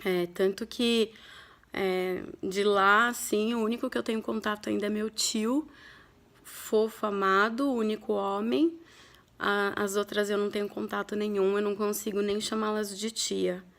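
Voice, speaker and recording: Woman in her thirties, from Brazil; candid, reflective vlogger telling a family story with frequent pauses. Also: medium pitch, fairly even intonation, a bit bright. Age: 30s. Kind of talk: personal storytelling to camera.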